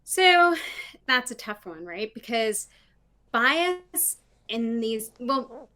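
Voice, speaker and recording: A woman's voice: fairly high pitch, drawn-out words. A middle-aged woman speaking as a guest on a video call from her living room, talking animatedly and often tagging her points with "right?". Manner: animated, frequent "right?" tags, laughs. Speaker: guest, diversity consultant. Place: home living room with bookshelves. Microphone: video call, laptop mic.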